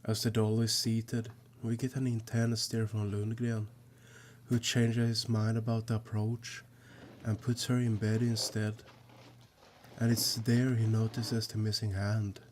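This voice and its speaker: Flat-voiced man narrating. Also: lowish voice, a little slow, slight background noise. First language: Swedish. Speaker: YouTube film analyst. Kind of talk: scripted narration. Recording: voice-over with guitar music behind.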